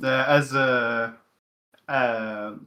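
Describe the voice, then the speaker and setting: A man from Canada, an indie game developer, answering interview questions in a halting way and laughing often. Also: mid-range voice, steady tone, bright, forceful tone, roomy sound.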